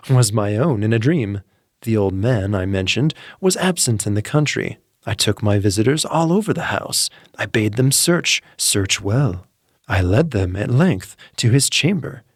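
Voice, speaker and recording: Male narrator, horror tale, intense, animated pitch, dry close mic. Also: no echo. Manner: intense, building from calm menace to frantic. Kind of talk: dramatic reading of a classic horror tale.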